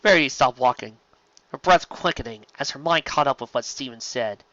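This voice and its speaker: An expressive narrator reading scripted story fiction into a close microphone, on a slightly dull home recording. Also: medium pitch, fairly quick, no room sound. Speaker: fan fiction audiobook narrator.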